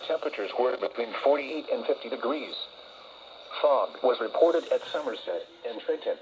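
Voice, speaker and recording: A man's voice: medium pitch, lively intonation, normal speed, darkish tone, a little hiss or hum, poor audio. A man reading a weather bulletin as an announcer over a weather radio broadcast.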